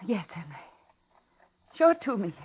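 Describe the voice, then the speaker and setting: A woman's voice: medium pitch, sing-song, normal speed, slightly rough. An actress playing a frightened, outwardly polite captive woman who narrates her ordeal breathlessly in a 1950s radio thriller. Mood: placating, careful. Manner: dramatic, breathless first-person suspense narration. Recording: dull 1950s radio drama, loud music.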